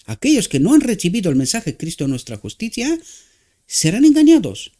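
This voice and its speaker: A man with a Romanian accent preaches in Spanish, his second language. His voice sits fairly high and swings widely in pitch. He halts to search for words and keeps asking his listeners to agree. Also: wildly swooping pitch, warm tone. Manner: halting, searching for words, frequent rhetorical "¿verdad?".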